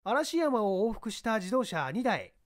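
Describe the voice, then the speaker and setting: Harried police underling voiced by a male reader, high, swooping delivery, deferential but excitable, mystery audiobook. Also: measured pace. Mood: eager. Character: harried, deferential male police subordinate reporting to his boss. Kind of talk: dramatized mystery audiobook reading.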